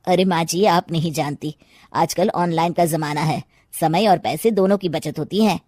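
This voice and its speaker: A woman's voice: on the low side, normal speed, darkish tone, no room sound, studio quality, natural voice. A woman playing a cheerful, polite male customer in a cartoon story, respectful and good-humoured. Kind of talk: cartoon moral-story acting.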